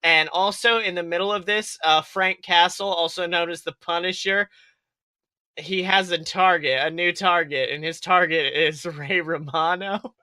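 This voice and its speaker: Casting: male comedy podcaster, bright voice, rambling and exclamatory, close dry sound. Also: high-pitched, fairly even intonation, a little slow, no echo. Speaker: podcast co-host. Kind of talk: casual comedic banter.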